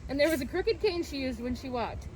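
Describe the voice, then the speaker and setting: A woman storyteller reading a picture book aloud to children in an expressive style. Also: sits high, brisk. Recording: clearly noisy, with background ambience.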